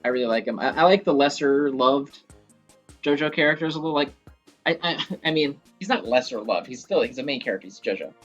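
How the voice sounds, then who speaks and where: Male gaming creator, mid-pitched voice, casual rambling fan commentary with mild swearing, game music underneath. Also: lively intonation, fairly quick, muffled recording. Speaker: gaming video creator and host. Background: video game music.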